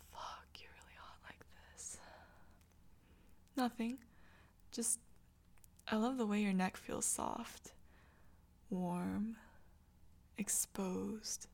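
A woman voicing an intense, tender young girlfriend in a whispered audio roleplay. She speaks in a soft, close whisper and stammers when she gets flustered. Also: mid-range voice, gravelly, husky, exaggerated voice. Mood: teasing whisper.

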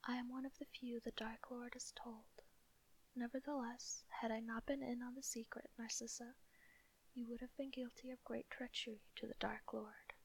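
A woman reading in a whisper as a cold, guarded male wizard who speaks in a low voice. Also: little pitch movement, normal speed, creaky, husky, poor audio. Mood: cold, measured.

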